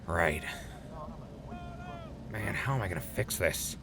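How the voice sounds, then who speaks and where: A man acting in an audio drama as a world-weary, sarcastic wasteland radio host, gruff and casual. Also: low-pitched, expressive, takes it slowly. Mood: guilty, irritated.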